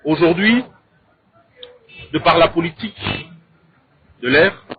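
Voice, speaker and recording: Congolese man, slightly high, phone-like sound. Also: slow, husky. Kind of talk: conversational opinion and debate. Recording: thin, phone-like narrow sound.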